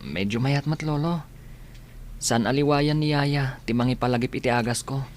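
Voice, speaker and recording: A man's voice: mid-range voice, normal speed, slight background noise, lightly acted. A man playing a polite young student and grandson in a radio drama, easygoing, with an Ilocano accent. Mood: respectful. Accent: Ilocano Filipino.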